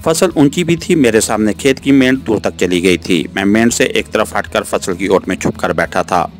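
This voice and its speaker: A man's voice: lowish voice, normal speed. A man reading a novel aloud in the first person as a scripted audiobook narration. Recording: music playing behind the voice. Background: background music.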